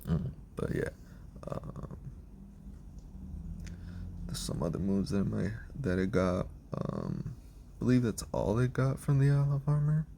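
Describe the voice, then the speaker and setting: A hoarse man with a raspy voice that is giving out, giving a casual, rambling breakdown of his team with lots of ums and restarts. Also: lowish voice, sing-song, a little slow, long pauses, warm tone, slight background noise. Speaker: video creator explaining his team.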